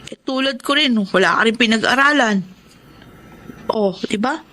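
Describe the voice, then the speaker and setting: A gossipy, teasing young Filipina in a radio drama. Her voice is high, and she talks bluntly with lots of exclamation, like a nosy best friend. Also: expressive, moderate pace, sharp tone, a little hiss or hum.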